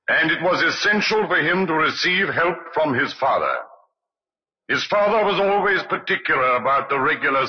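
A man's voice: on the high side, husky. A man acting a police superintendent in a radio drama, giving a formal, precise official account. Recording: dull old radio recording.

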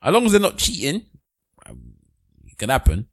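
A man's voice: mid-range voice, wildly swooping pitch, moderate pace. A man co-hosting a casual podcast chat, blunt, slangy and emphatic.

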